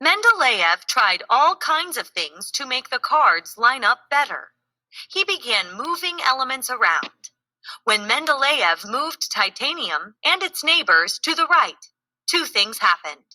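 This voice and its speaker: A woman reading a scripted educational narration aloud, clearly enunciated and explanatory in manner. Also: high-pitched, very animated, measured pace, pushed and bright, dry recording, low-quality recording.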